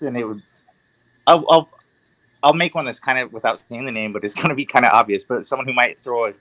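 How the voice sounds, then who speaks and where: A man with a mid-pitched, bright voice chats casually as a livestream guest. The sound is dry, with little room to it. He rambles, circling his point before landing on it. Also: no room sound, rough recording. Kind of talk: casual chat.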